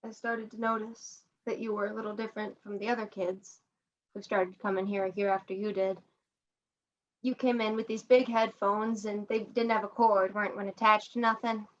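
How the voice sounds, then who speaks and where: A young woman playing a kindly older museum janitor in a student stage play, chatty and rough-edged, with a folksy, halting way of talking. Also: medium pitch, little pitch movement, fairly bright tone, highs cut off, lightly acted. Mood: gentle, reflective. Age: young adult. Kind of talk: student one-act stage play acting.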